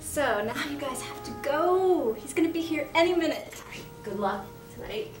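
A woman's voice: sits high, animated, moderate pace, roomy sound, far from the mic, loud music behind the voice. A woman acting in a comedic drama, bossy and gossipy in manner.

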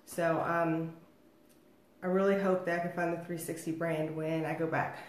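A woman's voice: low-pitched, level tone, normal speed. A woman, getting over a cold and a little nasal, chatting rambly on a home livestream while mixing drinks at her table. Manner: rambling and chatty, many fillers. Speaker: host of a home cocktail livestream. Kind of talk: casual livestream chat. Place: dining room at home, indoors. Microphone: phone or webcam across the table. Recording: echoey room.

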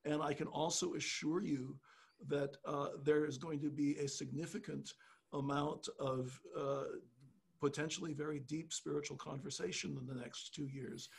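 An older man, a pianist, telling a story slowly on a video call through a headset, with many pauses and fillers. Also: fairly high pitch, little pitch movement, gravelly, husky.

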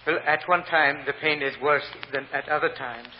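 A man playing a humble, earnest immigrant grocery clerk with a Spanish accent, testifying in halting, deferential broken English in a vintage radio drama. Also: fairly high pitch, steady tone, natural voice. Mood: anxious, sorrowful. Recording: muffled old radio recording, hiss, long reverb.

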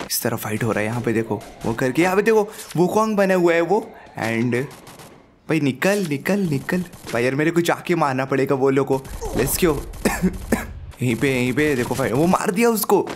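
A young man with a sore throat giving excited live commentary over his own mobile battle royale match, hyping up his kills and laughing often, speaking into a headset at home. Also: on the high side, normal speed, slight background noise. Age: young adult. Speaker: gaming YouTuber. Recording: game gunfire behind.

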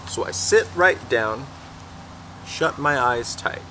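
A young man reading a children's picture book aloud as a teacher, expressively and deliberately, pausing between phrases, recorded outdoors a little way from the camera. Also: mid-range voice, rough recording. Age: young adult. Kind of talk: children's story read-aloud. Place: outdoors in a fenced backyard garden. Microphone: camera a few feet away. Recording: faint steady outdoor background noise.

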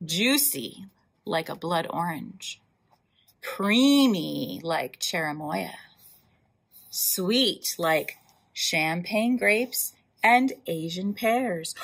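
A woman hosting a library storytime, reading a picture book aloud in an animated way, with emphatic drawn-out words and asides to young listeners. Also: on the low side, vocal fry, slightly rough. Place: indoors, in front of a wall with floral decals.

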